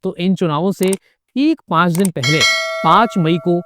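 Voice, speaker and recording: A man's voice: high voice, unhurried, background noise. A man narrating a scripted political commentary, pointed and emphatic, with sarcastic asides. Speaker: political video essayist.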